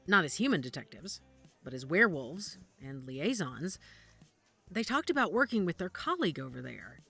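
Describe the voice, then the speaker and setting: A woman's voice: low-pitched, very animated, moderate pace, vocal fry, sharp tone, husky, full character voice. Grave, guarded werewolf woman, played by an actress over music. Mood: grim, alarmed. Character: female werewolf pack investigator, guarded, worried, determined. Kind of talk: audio drama acting. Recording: music under the dialogue.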